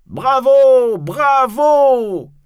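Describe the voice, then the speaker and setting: A man voicing rowdy, excitable theatre spectators, loud and declamatory, in a storybook. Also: very high-pitched, drawn-out words, smooth, dry recording, clean studio sound, voice pushed up, full character voice. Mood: cheering.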